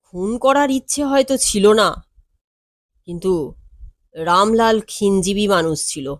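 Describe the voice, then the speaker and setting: A woman voicing a composed, world-weary male lawyer in an audio story, speaking in a measured, matter-of-fact and wry way. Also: medium pitch, lively intonation, dry recording, studio quality, natural voice.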